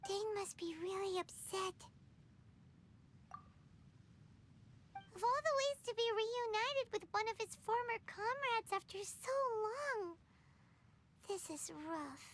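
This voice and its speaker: Tiny floating fairy girl, extremely high squeaky voice, chirpy, chatty, expressive, video game companion, noisy background. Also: a little slow, heavily acted. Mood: concerned. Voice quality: squeaky, childlike. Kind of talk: video game character acting.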